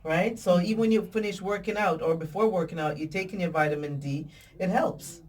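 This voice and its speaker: A man's voice: high voice, fairly quick. A man co-hosting a casual interview, often affirming what others say. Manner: affirming, with frequent agreeing replies. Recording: distant mic.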